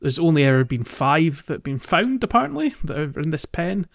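A man's voice: a little high, very animated, brisk, vocal fry, muffled recording. A man co-hosting a casual gaming podcast with a jokey manner. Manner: jokey, laughs often. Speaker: podcast co-host.

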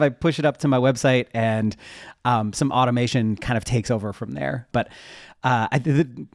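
A man's voice: mid-range voice, no room sound, clean studio sound. A man giving casual interview answers in an informal, rambling way, often interrupting himself. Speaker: interview guest, web developer and newsletter writer.

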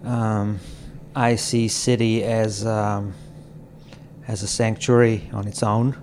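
Slow, reflective man from Croatia. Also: lowish voice, level tone, slight background noise. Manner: slow, halting, with frequent pauses and soft laughs. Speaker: podcast guest. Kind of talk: reflective conversation. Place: apartment in a city.

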